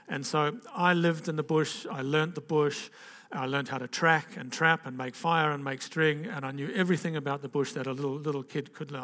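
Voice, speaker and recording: Older man, slightly high voice, loose rambling delivery, long hall reverb. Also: a bit bright. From Zambia and Zimbabwe. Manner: rambling and conversational, with restarts and "okay?" asides. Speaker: speaker giving a personal testimony. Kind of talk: informal testimony to a gathering.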